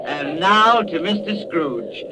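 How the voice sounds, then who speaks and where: A man's voice: on the high side, animated, takes it slowly. An actor playing a meek, kindly poor clerk and father in a 1930s radio play. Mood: gently placating. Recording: very noisy 1930s radio broadcast.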